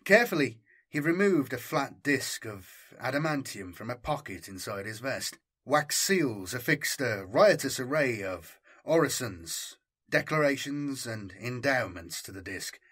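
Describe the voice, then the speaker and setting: A man's voice: medium pitch, expressive, a little slow, fairly bright tone, breathy edge. A man reading a science fiction audiobook in dramatic scripted narration.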